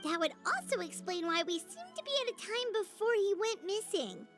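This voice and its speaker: A woman voicing a tiny, excitable female fairy companion in a video game, chirpy and exclamatory. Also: very high-pitched, moderate pace, music in the background. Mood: excited realization. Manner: exclamatory, chirpy, speaks of herself in third person. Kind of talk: animated video game voice acting.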